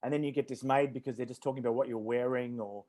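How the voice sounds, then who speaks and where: A man's voice: medium pitch, fairly even intonation, fast talker, warm tone. A man hosting an interview, asking long rambling questions full of ums and restarts. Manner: hesitant, many ums and restarts, chuckles. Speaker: interview host.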